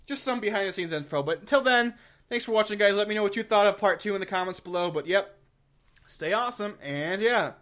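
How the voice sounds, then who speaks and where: Higher-voiced man chatting casually and emphatically. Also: brisk, fairly bright tone. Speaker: gaming YouTuber giving a review and channel updates. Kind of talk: casual unscripted commentary to viewers.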